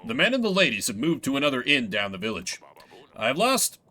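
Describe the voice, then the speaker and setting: A man's voice: medium pitch, big pitch swings, a little fast, pushed and bright, pitched below the performer's own voice. A man playing a humble tavern keeper in a fantasy game, speaking politely and deferentially to his ruler. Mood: resigned. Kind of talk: acted narrative game dialogue.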